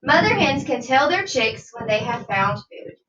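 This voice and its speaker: A woman reading a nonfiction children's book aloud like a teacher, deliberate and instructive, with questions to her young listeners. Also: high-pitched, very animated, a bit bright. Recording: dull, roomy sound, distant from mic.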